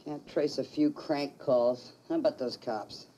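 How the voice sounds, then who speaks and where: A woman's voice: low-pitched, measured pace, mellow, slight background noise, poor audio. A brash, slangy woman. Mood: scornful. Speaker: film character. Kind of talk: film drama acting.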